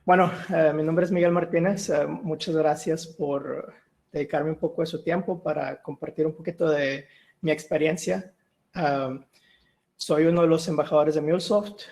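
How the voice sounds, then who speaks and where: A middle-aged man from Mexico, giving a tech talk on a video call, relaxed and full of "eh" fillers. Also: on the high side, normal speed, gravelly. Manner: relaxed, with many "eh" and "ah" fillers and restarts. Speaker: guest speaker, solution architect. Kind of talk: online tech meetup presentation.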